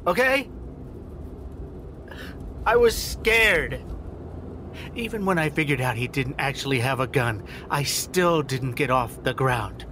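An actor playing an insecure, self-loathing desk cop and father in a naturalistic audio drama, halting and repeating himself. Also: medium pitch, animated, bright, forceful tone, slight background noise. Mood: tense, frustrated.

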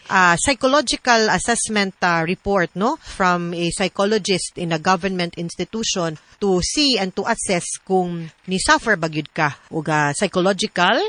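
A Cebuano Filipino woman hosts a radio advice programme in a bright, clear-cut voice at middle pitch. She explains things frankly and conversationally, slipping into English. The sound is dry and close, as in a studio. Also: dry recording. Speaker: radio advice show host, lawyer.

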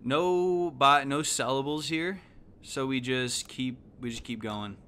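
A man, giving casual, slangy, animated live game commentary. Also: mid-range voice, slow pace, clear, resonant tone. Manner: animated, exclaiming, thinking aloud. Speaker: game streamer. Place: indoor room at home.

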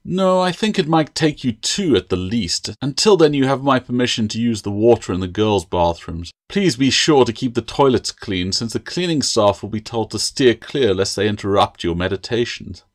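A man's voice: medium pitch, expressive, normal speed, hardly any pauses, natural voice. A man voicing a stern, pompous older schoolmaster with a snapping, sarcastic manner, in an audiobook fantasy tale. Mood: mocking, severe.

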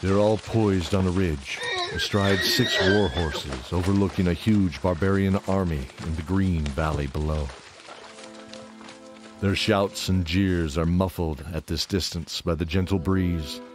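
A man with a low voice narrates a fantasy audio drama. His delivery is measured and descriptive, with music clearly playing underneath. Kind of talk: scripted fantasy story narration.

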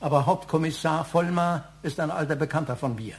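A man's voice: a little high, a little fast, natural voice. An actor playing a stern, reproachful older father of the family in a German radio crime drama, over some hum.

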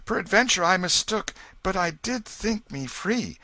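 A man's voice: high-pitched, lively intonation, a little slow, sharp tone, no echo, pitched above the performer's own voice, exaggerated voice. A man voicing a humble, frightened pauper boy who speaks in old-fashioned English to a king. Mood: timid. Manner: trembling, humble, archaic speech. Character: pleading pauper boy mistaken for a prince.

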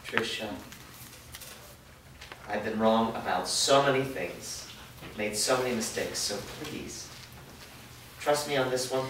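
A man's voice: lowish voice, takes it slowly, long pauses, fairly bright tone. A man reading a remorseful, earnest father. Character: weary father, remorseful and steady. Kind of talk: staged script reading. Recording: echoey room from far off, background noise.

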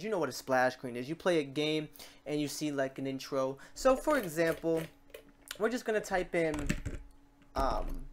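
A man hosting a software tutorial in a casual, chatty way, talking through each step aloud. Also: on the high side. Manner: informal, chatty, talks through steps aloud. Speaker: tutorial host.

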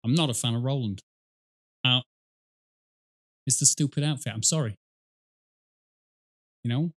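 A man's voice: mid-range voice, measured pace. A man in his thirties giving casual reaction commentary in a sweary, self-mocking way. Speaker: reaction video host, gamer.

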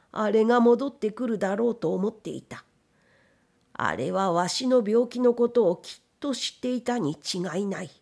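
Woman as old blind factory owner, proud, bitter, grieving, slow, gravelly, storybook reading. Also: medium pitch, mellow. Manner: weary, old-fashioned elderly man's speech. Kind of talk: dramatic literary reading.

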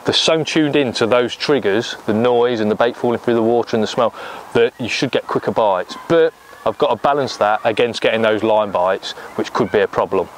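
English man, thirties, mid-pitched voice, practical how-to delivery, outdoors by a lake, faint background noise. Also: brisk, low-quality recording. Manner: practical, explanatory, step by step. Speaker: angling coach. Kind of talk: outdoor fishing tutorial. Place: lakeside bank outdoors.

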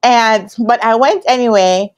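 A woman's voice: fairly high pitch, unhurried. A woman in her thirties with a Jamaican accent, telling a personal story to camera in an emphatic, conversational way. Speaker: career coach telling her own story. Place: indoor room with curtains. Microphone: lapel mic.

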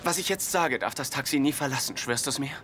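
A man's voice: medium pitch, fast, a loud crowd, heavy background noise, natural voice. An actor dubbing an earnest, sheepish young man about to marry in a sitcom dub.

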